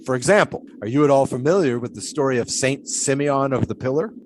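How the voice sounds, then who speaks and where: An actor playing a philosophical, theatrical flagpole sitter from the 1920s, musing and lecturing in a period audio drama. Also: male voice, medium pitch, brisk, background noise, close to an everyday voice. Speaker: flagpole sitter being interviewed.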